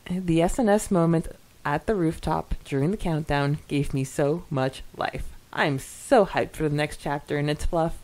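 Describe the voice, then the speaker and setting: A woman with a slightly low-pitched voice co-hosts a podcast. She reads listener comments and chats casually, with an expressive, giggly manner and frequent exclamations. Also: moderate pace. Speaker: podcast co-host.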